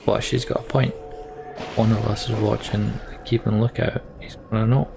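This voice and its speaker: A man's voice: lowish voice, little pitch movement, normal speed, creaky, over music. A man playing a video game, reading its story aloud dramatically with casual, mildly sweary asides. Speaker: let's player voicing a game's text. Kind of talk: gameplay commentary and dramatic read-aloud.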